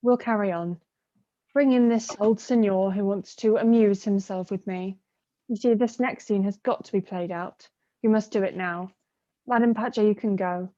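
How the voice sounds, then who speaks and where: Woman playing a mellow-voiced, bitter young daughter. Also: medium pitch, moderate pace, close to an everyday voice. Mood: imperious, goading. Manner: sardonic. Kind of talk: theatrical play acting. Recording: dull muffled video-call audio.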